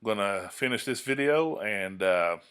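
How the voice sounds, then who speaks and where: A man with a very low voice and a bright, forceful edge speaks. He hosts a casual hands-on radio tutorial, explaining things off the cuff. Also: lively intonation, normal speed, clean tone. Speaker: amateur radio tutorial host. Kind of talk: casual unscripted demonstration.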